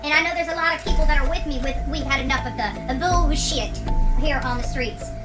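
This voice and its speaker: Very high-pitched woman ranting, distant mic. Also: fast, over music. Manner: emphatic, confrontational, repetitive. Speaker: livestream host. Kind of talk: impassioned live rant to an online audience.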